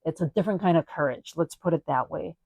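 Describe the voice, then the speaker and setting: A woman from Spain talks through her own podcast in a reflective, chatty way, leaning on little fillers as she thinks aloud. Her voice sits low. The recording is dry and close, with no room sound at all. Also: fast talker, vocal fry, darkish tone, husky, no echo. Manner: reflective, chatty, frequent "you know" and "like". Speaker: podcast host. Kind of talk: personal solo podcast monologue.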